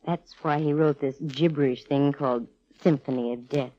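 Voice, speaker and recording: An American actress playing a sharp female private eye in 1940s radio drama, explaining things with dramatic, measured pauses. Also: deep voice, steady tone, no echo, close to an everyday voice. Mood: solemn, explanatory. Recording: dull vintage radio recording with music.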